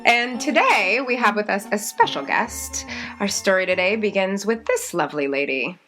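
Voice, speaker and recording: A woman hosts a scripted podcast in a bright, lively way, her pitch bouncing widely as she reads. A music bed plays clearly beneath her voice throughout. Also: moderate pace. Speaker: podcast host.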